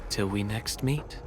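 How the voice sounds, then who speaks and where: Slow, courtly game villain. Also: male voice, fairly low pitch. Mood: solemn, devoted. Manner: formal, theatrical, measured. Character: courtly, enigmatic male villain professing devotion to a lord. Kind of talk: video game story voice acting. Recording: dramatic music behind the voice. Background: game music.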